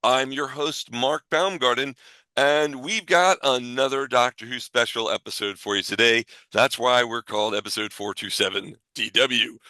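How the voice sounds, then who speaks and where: Bright-voiced man hosting a podcast. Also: mid-range voice, normal speed, dry recording, rough recording. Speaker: podcast host. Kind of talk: casual bantering podcast talk.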